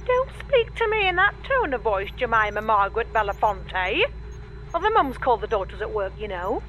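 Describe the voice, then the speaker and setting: A woman's voice: on the high side, very animated, moderate pace, fairly bright tone, a little hiss or hum. A woman playing a middle-aged, nagging mother, fussy and breezily dismissive, heard through a call filter in a scripted comedy audio drama. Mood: scolding. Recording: dull lo-fi call line.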